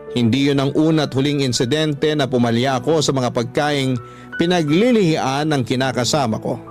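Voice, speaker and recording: A weary working man, voiced by an actor narrates his troubles in a mid-pitched voice. He is measured and confiding, in the manner of a radio love-story letter, over light music. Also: darkish tone, natural voice. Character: weary male tricycle driver recounting his relationship troubles.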